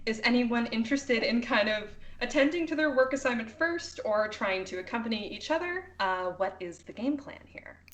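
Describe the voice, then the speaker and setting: A woman's voice: on the high side, expressive, normal speed, hardly any pauses, fairly bright tone. A woman improvising narration as game master of a tabletop game, in a casual, amused way.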